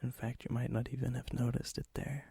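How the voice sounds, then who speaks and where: A man playing a lonely, gently teasing vampire in an ASMR roleplay, with a soft, breathy voice that often drops into a whisper. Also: medium pitch, completely flat delivery, dry recording. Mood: shy, friendly. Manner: slow, intimate, hesitant, gently teasing.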